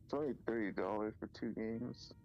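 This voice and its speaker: A young man in casual gaming chat, his voice gravelly with fry at a medium pitch. He rambles in slang on a dull, lo-fi recording with game music underneath. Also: moderate pace.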